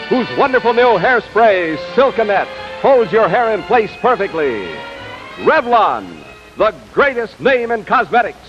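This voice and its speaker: A male American announcer with a strikingly high voice and a polished, formal sales delivery. His pitch moves in lively swings. The sound is a muffled 1950s television recording with music behind it.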